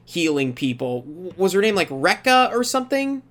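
A man's voice: high-pitched, very animated, normal speed, a bit bright. A man hosting a casual podcast review, telling things emphatically and putting on mock quotes as he gives his opinions. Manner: emphatic, mock-quoting storyteller. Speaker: podcast host leading an anime review.

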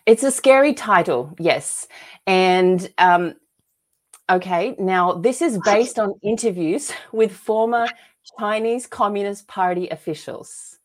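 A woman's voice: medium pitch, takes it slowly. A woman with an Australian accent, speaking earnestly and emphatically as a guest telling personal stories in a conversational interview. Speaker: podcast guest, author and filmmaker.

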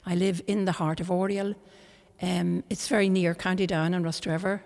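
Gravelly Irish woman, calm singer guest. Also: on the low side, normal speed, big-room sound. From Ireland. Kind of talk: interview. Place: room in a monastery.

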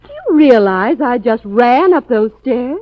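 Hero's young sweetheart, 1940s radio drama: highish voice, wildly swooping, earnest, muffled recording. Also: female voice, unhurried, no room sound. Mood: amazed. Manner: expressive, earnest. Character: grateful young woman, sweetheart of the hero.

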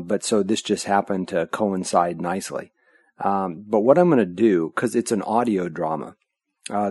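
An American man hosting a podcast, talking in a casual, opinionated monologue with halting phrasing. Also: low-pitched, a little mellow, no echo, clean studio sound. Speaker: podcast host.

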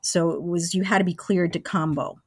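A woman in her sixties talks as an interview guest, reminiscing in a conversational way. Her voice sits a little low for a woman and the sound is very dry, with no room around it. She explains how things worked one step at a time. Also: steady tone, normal speed, darkish tone, breathy edge, no room sound. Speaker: interview guest, former college radio engineer.

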